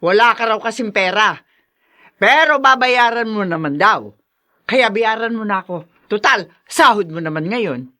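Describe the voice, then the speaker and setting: A woman's voice: mid-range voice, lively intonation, normal speed, bright, forceful tone. An actress in a Tagalog radio drama playing a hard, grasping woman who scolds and demands money. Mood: curt, demanding. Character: hard, grasping mother-in-law type demanding debt repayment.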